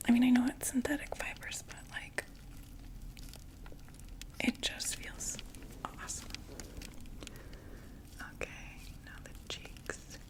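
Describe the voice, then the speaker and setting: A woman voicing a chatty undead vampire makeup saleswoman in a soft, gently persuasive ASMR whisper. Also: on the high side, little pitch movement, takes it slowly, long pauses, low-quality recording. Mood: hushed sales pitch. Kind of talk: ASMR roleplay.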